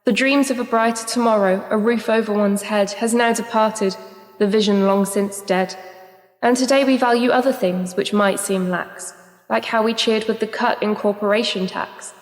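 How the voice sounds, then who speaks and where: A woman's voice: medium pitch, fairly even intonation, normal speed, lightly acted. An actress in a radio play playing an idealistic, weary woman poet with a dry, guarded manner. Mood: earnest, declaiming. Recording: roomy echo with long reverb.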